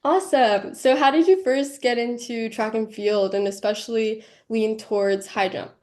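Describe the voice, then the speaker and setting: A woman's voice: a little high, normal speed, darkish tone. A congested woman hosting a podcast interview and putting questions to her guest.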